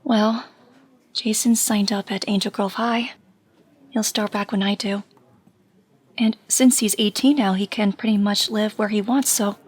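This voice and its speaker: Woman acting husky, earnest young female superhero. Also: mid-range voice, steady tone, measured pace. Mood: subdued. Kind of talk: audio drama acting.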